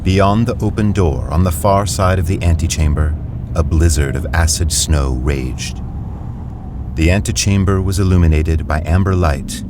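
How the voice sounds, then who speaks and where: A man narrating a story in an even, descriptive way. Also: low voice, normal speed, noisy background. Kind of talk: scripted narration.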